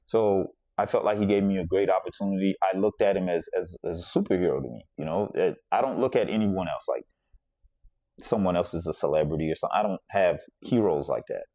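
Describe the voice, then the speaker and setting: A man's voice: low-pitched, a little fast, dark tone, dry recording, studio quality. A man from the Bahamas hosting a podcast, telling a personal story in a reflective, conversational way and circling back to repeat his points. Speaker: podcast host.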